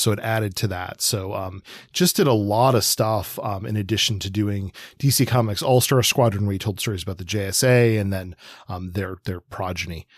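A man co-hosting a podcast, talking casually and appreciatively in a rambling, halting way. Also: mid-range voice, a little mellow, no echo, clean studio sound. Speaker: podcast co-host. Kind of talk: casual chat about comics.

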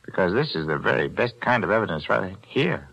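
Older crooked pawnbroker: smug haggler, bright-toned, 1940s radio. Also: male voice, low-pitched, very animated, brisk, creaky, muffled recording, exaggerated voice. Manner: smug, coolly bargaining. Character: shrewd, greedy older male pawnbroker. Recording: vintage 1940s radio broadcast.

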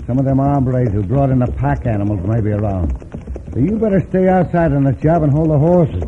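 An actor playing a brutal, bossy bandit leader with an American Western accent, curt and commanding, in an old-time radio western. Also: male voice, medium pitch, animated, brisk, natural voice. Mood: gruff, plotting. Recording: noisy, tinny old radio recording.

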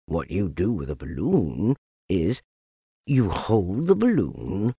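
A cheerful, simple-minded storybook bear, as a man reader puts him on. The voice is low and gravelly, explaining things in an earnest, unhurried way. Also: sing-song, warm tone, dry recording, studio quality, heavily acted. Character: kindly, simple, cheerful male toy bear.

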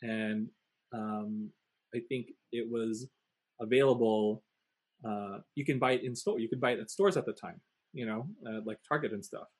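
A man's voice: fairly low pitch, fairly even intonation, normal speed. A man co-hosting a casual talk show, speaking hesitantly with many fillers. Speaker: podcast co-host. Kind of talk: casual chat.

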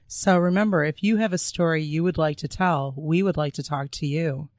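A woman co-hosting a casual podcast, her voice raspy and hoarse from a sinus infection. She talks in an easygoing, unhurried way, close to the mic in a dry, deadened room. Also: deep voice, a little mellow, dry recording. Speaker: podcast co-host.

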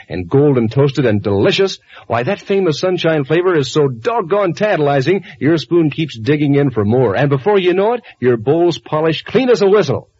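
A chummy man delivers a scripted 1940s radio commercial in a folksy way. His pitch swings widely and the voice is somewhat husky. The recording is old and dull. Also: a little mellow.

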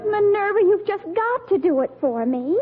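High, girlish radio teen daughter. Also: lively intonation, moderate pace, music in the background. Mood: urgent, persuasive. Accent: American. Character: earnest, exasperated, boy-crazy American teenage daughter. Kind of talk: 1940s radio sitcom acting. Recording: tinny old radio recording.

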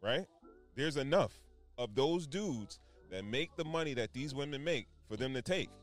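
A man's voice: mid-range voice, animated, moderate pace, soft background music. A man co-hosting a casual podcast debate, blunt and profane, cutting in to dismiss and push back.

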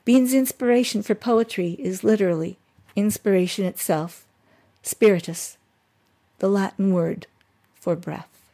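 A woman's voice: mid-range voice, a little slow, pauses often, mellow. A woman reading a scripted biographical introduction as an announcer.

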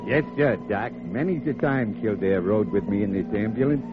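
An American actor playing a folksy, boastful ambulance driver with slangy talk in a 1940s radio drama. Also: male voice, fairly low pitch, animated, normal speed, narrow, tinny audio, close to an everyday voice. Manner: slangy, eager bragging. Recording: noisy old radio recording, thin band.

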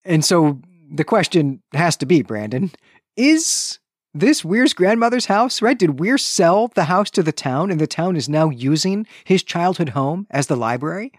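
A man co-hosting a book recap podcast, explaining the story in a measured, explanatory way with emphasis on key points. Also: fairly high pitch, sing-song, dry recording, studio quality. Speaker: podcast co-host.

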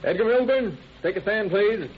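An actor playing a brisk, officious court clerk who rattles off formulas in 1930s radio style. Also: male voice, high voice, animated. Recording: dull, noisy old radio recording.

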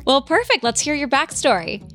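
A woman's voice: sits high, very animated, brisk, fairly bright tone. A woman hosting a dating podcast, upbeat and playful, with faint music behind. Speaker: host.

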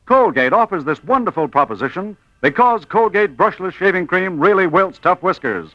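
A man's voice: high voice, expressive, normal speed, no echo. A man delivering a punchy, salesmanlike 1940s radio commercial as announcer. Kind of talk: 1940s radio advertising pitch. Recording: muffled old radio broadcast.

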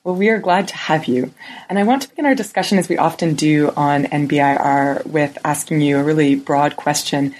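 A woman hosts an academic book podcast in a low, slightly husky voice. She reads her introduction smoothly from a script, then asks her questions in a relaxed, conversational way. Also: fairly quick, rough recording. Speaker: podcast co-host. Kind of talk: podcast interview.

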